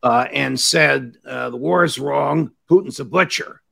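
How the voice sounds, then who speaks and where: A man hosting a podcast interview, asking questions in a formal, measured way. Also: mid-range voice, vocal fry, bright, forceful tone, breathy edge. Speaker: podcast host.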